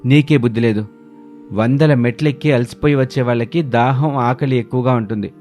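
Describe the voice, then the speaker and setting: A man's voice: medium pitch, fairly quick, darkish tone, faint music behind, lightly acted. A man voicing an angry husband who lectures his wife reproachfully in a story. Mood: scolding.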